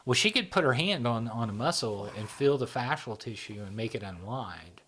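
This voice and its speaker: A man hosting a casual conversation, telling stories in a loose, relaxed way and often tagging "right?" and "you know?" onto his sentences. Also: mid-range voice, normal speed, a little hiss or hum. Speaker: host.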